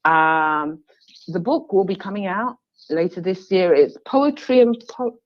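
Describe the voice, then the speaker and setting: A woman guest poet speaking gently and reflectively about stillness and creativity. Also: fairly low pitch, lo-fi sound. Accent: British. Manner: slow, calm, gentle, with fillers. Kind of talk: reflective spiritual talk.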